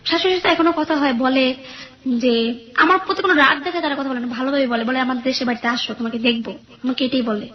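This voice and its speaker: Young woman, Bangladeshi accent, very high voice, rapid speech, interview guest, dull lo-fi recording. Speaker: guest telling her life story. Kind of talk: personal account in an interview.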